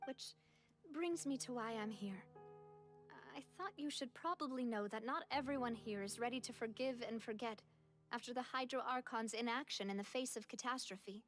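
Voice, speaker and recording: A woman's voice: a little high, normal speed, soft background music, lightly acted. An actress voicing a confident, caring young woman leader in a video game, speaking in an assured, direct way. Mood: earnest. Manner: assured, direct, gently chiding.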